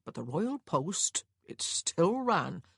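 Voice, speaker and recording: A woman's voice: fairly low pitch, big pitch swings, takes it slowly, vocal fry, husky, no echo, clean studio sound, full character voice. A woman voicing a nosy, officious male stagecoach clerk in an audiobook, chatty and rambling. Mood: easygoing, long-winded. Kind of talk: acted audiobook dialogue.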